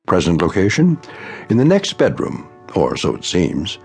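Deep ominous narrator for eerie radio show, measured, bit of music under. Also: male voice, wildly swooping pitch, breathy edge, exaggerated voice. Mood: ominous, wry. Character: grave, wry anthology narrator of eerie tales. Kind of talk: scripted radio drama narration.

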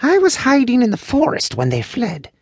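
A man voicing a tiny, proud male elf in a high-pitched storybook voice, with grudging and old-fashioned formal speech. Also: wildly swooping pitch, normal speed, husky, dry recording, studio quality, exaggerated voice. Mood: grim. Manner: grudging, archaic formal phrasing. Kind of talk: audiobook character voice.

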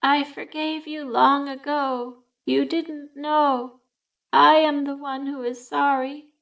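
A woman voicing the ghost of a young woman who speaks flat and unemotional, spoken through a psychic in an audiobook. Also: high voice, slow pace, vocal fry, distant mic, pitched above the performer's own voice, full character voice. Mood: cold.

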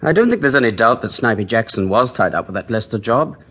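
A British police detective in a 1940s radio play. His mid-pitched voice has a husky grain. He is polite and eager, respectful toward his superiors. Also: expressive, quick pace, a bit bright. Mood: thoughtful. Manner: polite, eager, well-spoken.

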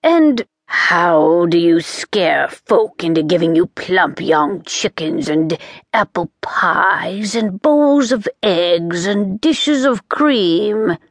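A woman voicing a crabby old witch who croaks and sneers, speaking slowly. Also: lowish voice, animated, no echo, clean studio sound, heavily acted. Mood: sneering. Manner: sneering, slow and menacing.

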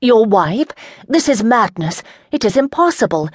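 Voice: mid-range voice, sing-song, unhurried, no room sound, studio quality, pitched above the performer's own voice. A reader voicing a proud young noblewoman who answers with scorn and defiance. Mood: defiant. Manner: scornful, raised and forceful.

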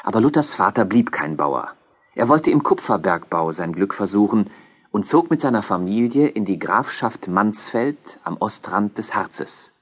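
A man narrates scripted history in a fairly low, husky voice. His delivery moves through a very wide range of pitch. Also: lively intonation, normal speed.

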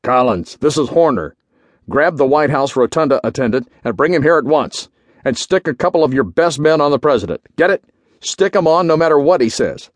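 A man voicing a gruff, short-tempered general and intelligence chief who barks clipped orders in a pulp adventure story. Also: expressive, brisk, no room sound. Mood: barking, urgent. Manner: barking, booming, clipped orders.

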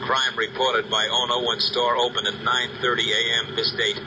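A man in 1940s radio acting, playing a police dispatcher who speaks in a flat, clipped way over shortwave radio, on a hissy old recording. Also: medium pitch, moderate pace, dull audio. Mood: businesslike.

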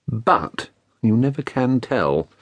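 A man voicing a slow, amiable, greedy bear in a dramatised children's story, musing and hesitant in a husky voice. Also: mid-range voice, very animated, no room sound. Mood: musing, sheepish. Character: Pooh, slow, dim, greedy, amiable honey-loving bear.

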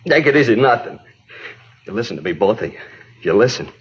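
An actor playing a distraught, exhausted, bitter husband in an emotional 1950s radio drama style. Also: male voice, on the low side, sing-song, a little fast, husky, heavily acted. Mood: vengeful, menacing. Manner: anguished, repetitive, emotional. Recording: dull, muffled 1950s radio recording.

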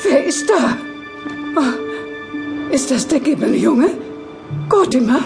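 A cranky old neighbour lady in an audio drama, high-pitched and creaky. She makes imperious demands with dramatic insistence, over background music. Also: very animated, takes it slowly, exaggerated voice. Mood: anxious, insistent.

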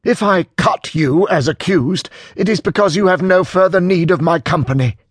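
A man's voice: a little high, big pitch swings, measured pace, breathy edge, dry recording, studio quality, voice pushed up, full character voice. A man voicing a bitter, hurt, quarrelsome male character in an audiobook, theatrical and cutting. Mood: cold, hurt. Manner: hysterical, cutting, mock-dramatic.

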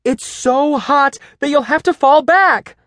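A man's voice: very high voice, slow, dry recording, studio quality, voice pushed up, exaggerated voice. A man voicing a bossy, relentlessly peppy female gym teacher who exclaims everything, in an audiobook. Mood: overexcited. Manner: exclamatory, shouting cheerleader enthusiasm. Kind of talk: audiobook character voice.

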